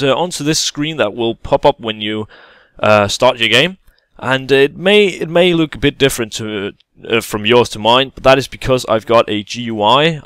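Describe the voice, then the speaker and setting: A man talks through a game tutorial in a relaxed, halting way, often pausing and restarting. His voice is smooth and clear. The recording is dry and close, with no room sound. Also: mid-range voice, no room sound. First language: Danish. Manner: hesitant, many fillers and restarts, casual explaining. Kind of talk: gameplay tutorial voice-over.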